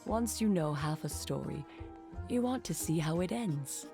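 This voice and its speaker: Kindly older female game character, wistful, gravelly edge, music clearly behind. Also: low voice, expressive, a little slow, full character voice. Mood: reflective. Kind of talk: scripted game voice acting. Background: clear background music.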